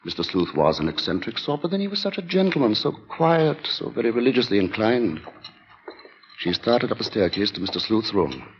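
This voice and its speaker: An actor narrating a 1940s radio thriller in a measured, grave and ominous manner. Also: male voice, lowish voice, sing-song, a little hiss or hum. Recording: dull vintage radio recording with music.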